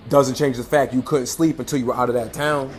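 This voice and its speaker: An actor playing a gossipy, teasing small-town ticket clerk in a radio play, chatty and prone to mumbled asides. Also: male voice, mid-range voice, steady tone, fairly quick, darkish tone, a little hiss or hum, close to an everyday voice. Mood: needling, smug.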